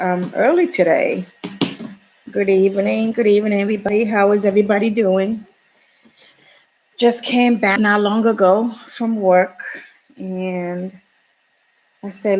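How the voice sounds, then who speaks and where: A woman in her forties from Ghana, telling a personal story on her vlog in a slow, hesitant way with many pauses. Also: mid-range voice, darkish tone, low-quality recording. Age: 40s. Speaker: YouTuber.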